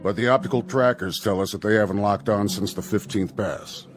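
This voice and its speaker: Actor as a low-voiced, stern military general. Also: male voice, faint music behind, close to an everyday voice. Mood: grave, guarded. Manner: deliberate, formal, official. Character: stern, measured male Air Force general in authority. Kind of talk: radio drama acting.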